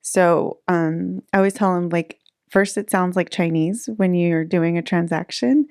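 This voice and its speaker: A woman's voice: on the low side, fairly even intonation, a little slow, a little mellow, no echo, studio quality. A woman in her thirties, a real estate agent chatting casually in an interview, laughing easily between fillers. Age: 30s.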